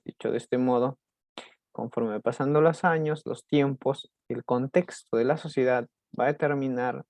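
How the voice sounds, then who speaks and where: A young Peruvian man with a Peruvian Spanish accent, a student giving a recorded class presentation, halting with many restarts and fillers. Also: medium pitch, dark tone, no room sound. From Peru.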